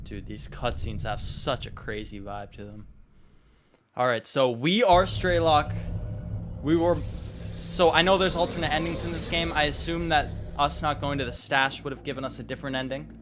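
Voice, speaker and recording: A man's voice: on the high side, normal speed. A man hosting a let's play, giving casual, laid-back, slangy reaction commentary on a video game. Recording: game music behind the voice.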